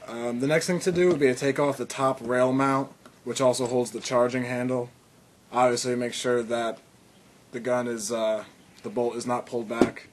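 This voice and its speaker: A man's voice: mid-range voice, level tone, normal speed, a little hiss or hum. A man casually walking through a hands-on tutorial, rambling with fillers and restarts, and coughing now and then. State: occasional cough. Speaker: video tutorial maker. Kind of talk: casual how-to demonstration.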